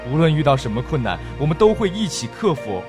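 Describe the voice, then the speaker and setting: A man acts out an earnest young man in an audio drama. His delivery is halting and emotional, with very lively intonation as he pleads devotedly. Music plays behind him. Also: on the high side. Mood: pleading, determined. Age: young adult. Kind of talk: Mandarin audio drama acting.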